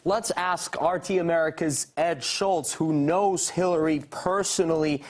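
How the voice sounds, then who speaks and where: A male news reporter with a fairly high voice. He narrates in an emphatic, rhetorical way and puts questions to guests like a broadcast interviewer. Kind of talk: scripted narration and live interview.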